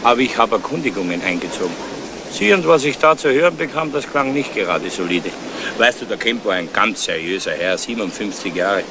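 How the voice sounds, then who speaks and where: An actor playing a fretful, suspicious insurance agent in a 1970s German crime radio play, talking in an insinuating, emphatic way. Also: male voice, on the low side, expressive, a little fast, background noise. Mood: gossipy, suspicious.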